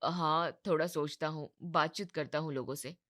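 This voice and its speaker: Woman voicing a weary, hesitant young shopkeeper man, low-pitched. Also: fairly even intonation, moderate pace, fairly bright tone. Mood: doubtful. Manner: hesitant, resigned. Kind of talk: Hindi animated moral story dialogue.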